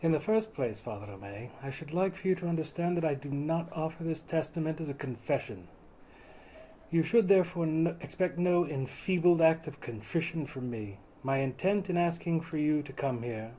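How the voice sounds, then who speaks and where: A mellow, slightly high male voice as a man acts out a condemned nineteenth-century seaman. He is proud and bitter, speaking in formal, old-fashioned phrases with theatrical weight, as in a dramatic reading of a seafaring tale. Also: moderate pace, slight background noise, close to an everyday voice. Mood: proud, defiant. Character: bitter, proud condemned 1860s sailor telling his tale. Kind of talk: dramatic reading of a novel aloud, first-person monologue.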